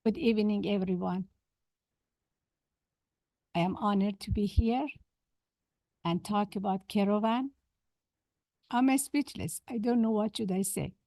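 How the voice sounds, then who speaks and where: A woman from Denmark giving an emotional, halting public comment at a city council meeting, in non-native phrasing. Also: mid-range voice, gravelly, warm tone, dry recording. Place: council chamber.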